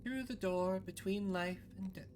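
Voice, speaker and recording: A performer voicing a devout visionary nun, a gentle, bewildered old woman who speaks in a solemn, reverent, measured way over music. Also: mid-range voice, background noise, lightly acted. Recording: music under the voice.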